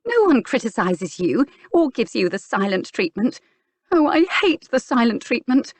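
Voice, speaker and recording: A woman's voice: mid-range voice, big pitch swings, normal speed, dry recording, voice pushed up, lightly acted. A woman voicing a prim, straight-laced young lady who grows indignant and furious. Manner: indignant, rising to anger.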